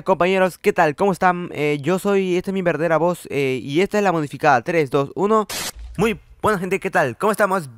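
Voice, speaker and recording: Young male gaming host, brash and profane, voice on the higher side, faint music behind. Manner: excitable, swearing, trolling banter. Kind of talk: casual gameplay commentary.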